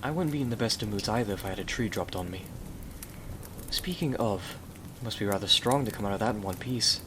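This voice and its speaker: A gentle, devoted warrior gentleman played by a man speaks in a low voice. He is formal and earnest, full of courtly endearments, in an ASMR roleplay. The recording carries clear noise. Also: a little fast, close to an everyday voice. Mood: kindly, earnest.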